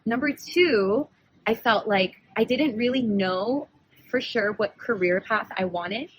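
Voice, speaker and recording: A woman's voice: a little high, slow, husky, low-quality recording. A young woman in her twenties, hosting a podcast and telling a personal story in a chatty, rambling way, often correcting herself and laughing. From United States. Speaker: podcast host.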